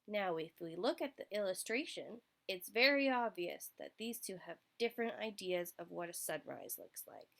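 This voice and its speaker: A woman's voice: mid-range voice, sing-song, normal speed, gravelly, few pauses. A woman storyteller reading a picture book aloud to young children, expressively, addressing her listeners directly.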